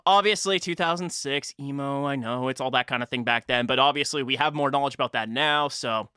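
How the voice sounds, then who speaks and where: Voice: mid-range voice, brisk, sharp tone, smooth, dry recording, clean studio sound. A podcast host in casual conversation, thinking aloud in informal, half-finished thoughts. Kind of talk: casual chat.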